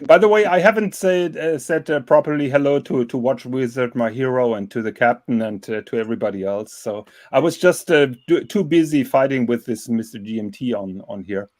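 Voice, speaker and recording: A man in a casual group chat, teasing and halting, with many restarts. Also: medium pitch, darkish tone, dry recording, studio quality.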